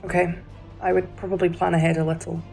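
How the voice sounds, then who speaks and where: Female actor as sensible young companion for audio drama: casual, fairly even delivery, gravelly edge, faint music behind. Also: lowish voice, fast, low-quality recording. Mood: wry, cautious. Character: plucky, sensible young woman, adventurer's companion. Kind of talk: sci-fi audio drama acting.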